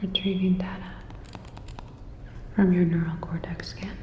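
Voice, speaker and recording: A woman acts out a calm sci-fi repair technician in a whispered ASMR roleplay. The delivery is very even and unhurried, with soft clinical reassurance. It sits in a long, roomy reverb. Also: on the low side, lightly acted. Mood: calm, official. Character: calm, attentive female cyberpunk robot-repair technician. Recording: roomy reverberant sound, dull, faint noise.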